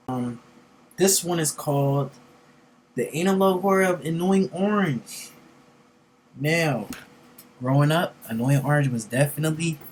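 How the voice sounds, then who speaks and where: A man's voice: mid-range voice, a little hiss or hum. A young man giving casual, slangy, profane reaction commentary as host of a reaction video. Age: young adult.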